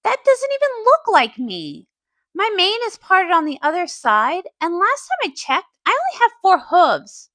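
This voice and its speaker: A woman's voice: very high-pitched, wildly swooping pitch, unhurried, fairly bright tone, no echo, heavily acted. A woman voicing an earnest, worried young pony princess in a cartoon fan dub, speaking emotively. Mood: puzzled.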